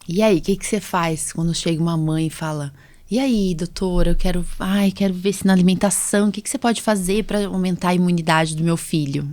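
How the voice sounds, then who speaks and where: A woman's voice: fairly low pitch, steady tone, fairly quick, darkish tone, no room sound. A woman with a Brazilian accent hosting a casual podcast as a doctor, explaining things chattily with frequent tag questions. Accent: Brazilian Portuguese. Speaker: podcast host, allergist doctor.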